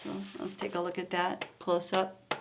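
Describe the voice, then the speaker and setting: A woman hosting a storytime, reading a picture book aloud to young children in an expressive, coaxing way and asking them questions. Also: fairly low pitch, normal speed, slight background noise.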